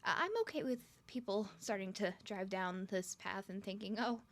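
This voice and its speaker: A woman's voice: medium pitch, moderate pace, natural voice. A woman playing a nervous, smitten young woman on a first date in an ASMR roleplay, stuttering and rambling with flustered giggles. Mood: shy, earnest.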